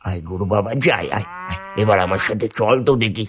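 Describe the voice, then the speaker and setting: A man's voice: on the low side, brisk, a little hiss or hum, natural voice. A man voicing a sneaky, cowardly livestock thief in a cartoon, repeating himself and stammering out exclamations. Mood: sly, gleeful. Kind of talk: cartoon voice acting.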